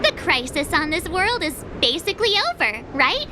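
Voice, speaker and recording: A perky young girl adventurer from a game. Her voice is extremely high and lively, bubbly and informal, over clearly audible rumbling noise. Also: normal speed. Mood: relieved, chirpy. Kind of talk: video game voice acting.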